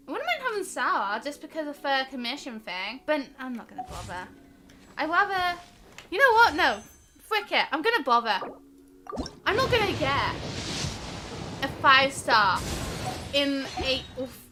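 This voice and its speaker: A woman's voice: high voice, pushed and bright. A woman chatting casually and bubbly as she hosts a gaming livestream, rambling along and talking to her viewers. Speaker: livestream host.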